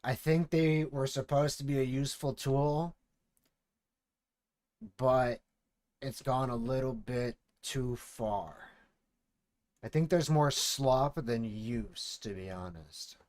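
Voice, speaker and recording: A man's voice: medium pitch, slow pace, long pauses, darkish tone. Casual swearing streamer man. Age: late 20s. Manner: loose, swearing, thinking aloud. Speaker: streamer reacting to videos. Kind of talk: casual reaction commentary.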